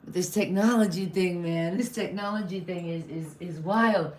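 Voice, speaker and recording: A woman's voice: lowish voice, moderate pace, roomy sound, slight background noise. Chatty woman hosting a live stream. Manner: chatty, rambling, apologetic, laughs often. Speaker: host. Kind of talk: casual live-stream interview. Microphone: live-stream video call.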